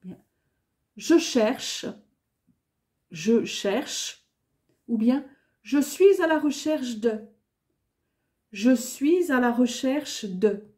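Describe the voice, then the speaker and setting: A woman gives a beginner French lesson at an unhurried pace, repeating each phrase twice. Her voice sits high but sounds soft and mellow. She speaks carefully and clearly, like a patient teacher. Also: animated.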